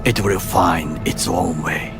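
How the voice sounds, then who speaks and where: An actor playing a stern, brooding Japanese conspirator in a video game, speaking formal, stilted English with a Japanese accent. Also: male voice, sits low, steady tone, takes it slowly. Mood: solemn, brooding. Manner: formal, stilted, solemn phrasing. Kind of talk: cinematic video game acting. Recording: game music behind the voice.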